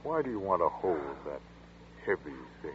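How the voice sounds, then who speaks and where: Creepy hypnotist murderer, slow soothing commands, low voice, tinny old radio sound. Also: male voice, sing-song, noisy background. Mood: hypnotic. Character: cold scheming male hypnotist murderer, middle-aged. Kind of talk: 1940s radio acting.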